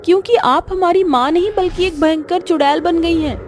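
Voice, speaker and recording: A woman's voice: extremely high pitch, normal speed, a little mellow, pitched above the performer's own voice. A woman voicing the upset young daughter of a witch in a horror story, speaking in an earnest, pleading manner. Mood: pleading, firm.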